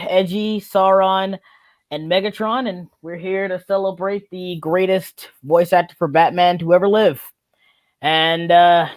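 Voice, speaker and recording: A man hosting a casual group chat, with a teasing, jokey way of talking. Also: high-pitched. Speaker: host.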